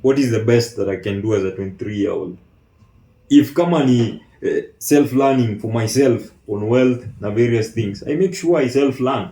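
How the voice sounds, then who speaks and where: Male, twenties, Kenyan accent, assertive casual debater, dark warm tone, very roomy sound. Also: mid-range voice, normal speed. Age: 20s. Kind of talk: casual debate, switching between Swahili slang and English. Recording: echoey room.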